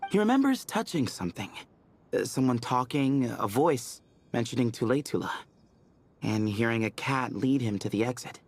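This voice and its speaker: A man's voice: mid-range voice, expressive, moderate pace. A man voicing an easygoing, confident young man in a video game, casual and matter-of-fact. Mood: thoughtful, matter-of-fact. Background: background music.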